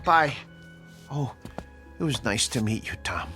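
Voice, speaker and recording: A man's voice: mid-range voice, big pitch swings, measured pace, pushed and bright, soft background music. An actor playing an anxious, meek man in therapy who believes in aliens, in a radio drama. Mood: grateful, meek. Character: anxious, grateful male therapy patient obsessed with aliens.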